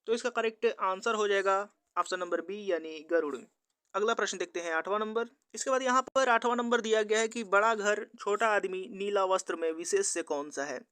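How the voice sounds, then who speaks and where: A man's voice: high voice, pushed and bright, smooth, dry recording. A man teaching in an instructional quiz style, methodically reading out questions and answers as an exam tutor.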